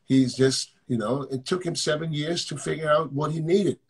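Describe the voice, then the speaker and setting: A man's voice: fairly high pitch, moderate pace, slightly rough. A man hosting a live video chat from a hotel room, blunt and joking, often repeating words as he talks. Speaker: coach and live stream host. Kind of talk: casual live stream chat with callers.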